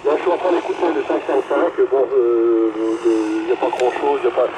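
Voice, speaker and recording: A man's voice: mid-range voice, brisk, noisy background. A man chatting casually and hesitantly over two-way radio as a hobbyist, often repeating his words. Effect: two-way radio transmission. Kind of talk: casual amateur radio chat.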